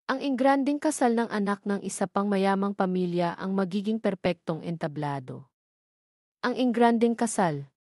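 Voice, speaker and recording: A woman's voice: medium pitch, animated, moderate pace, darkish tone, no room sound. Woman narrating a story, dry close sound. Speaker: audio drama narrator.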